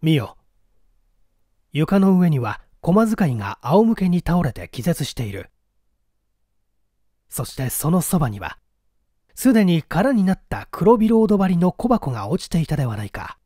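Mellow, expressive male story narrator. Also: a little high, moderate pace, long pauses, no room sound, clean studio sound. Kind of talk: dramatic scripted story narration.

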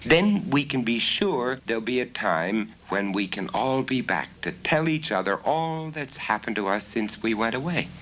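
A man voicing an eager, sentimental young man, earnest and expressive, in a storybook reading. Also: medium pitch, normal speed, few pauses, lo-fi sound, voice pushed up, natural voice. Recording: noisy 1970s vinyl spoken word record.

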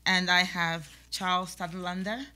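Chatty lady host in a little radio studio, bright clear tone, acts out what listeners might ask. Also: lowish voice, little pitch movement, measured pace. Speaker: talk show host. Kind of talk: interviewing guests. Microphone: studio mic on stand.

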